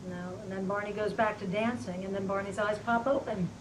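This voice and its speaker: A woman reading the stage directions aloud at a table read, brisk and matter-of-fact. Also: medium pitch, fairly even intonation. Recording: distant voice with background noise.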